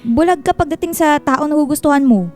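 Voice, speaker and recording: A woman's voice: sits high, fast, darkish tone, faint music behind, natural voice. A woman playing a bossy, blunt, gossipy young friend with a Filipino accent in a radio drama.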